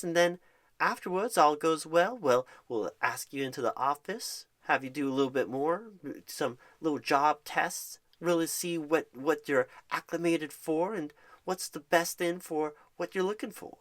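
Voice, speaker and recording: A mid-pitched voice performs an ASMR roleplay as a happy job recruiter and career coach. The delivery is encouraging and wordy, explaining things at length. Pet names soften the talk throughout. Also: moderate pace. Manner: reassuring, wordy, uses pet names like darling and honey. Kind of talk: ASMR roleplay, one side of a phone screening.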